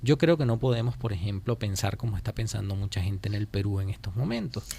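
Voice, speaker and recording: A Venezuelan man giving political commentary as a radio interview guest, speaking emphatically and deliberately and repeating phrases to drive points home. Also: on the low side, lively intonation, gravelly, warm tone, husky. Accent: Venezuelan Spanish. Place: radio studio.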